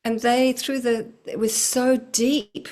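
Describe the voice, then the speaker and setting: A woman's voice: fairly high pitch, little pitch movement, slow pace, a little mellow. A middle-aged woman speaking earnestly about spiritual healing work, with a flowing manner and frequent laughs. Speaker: spiritual teacher, guest. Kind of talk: casual spiritual talk.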